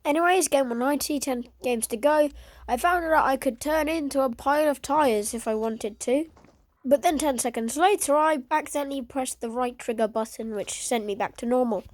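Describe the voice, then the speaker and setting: A woman's voice: sits high, moderate pace, no echo. A woman with a sore, congested throat, giving dry, self-deprecating voice-over commentary on her gameplay clips. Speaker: gaming video creator.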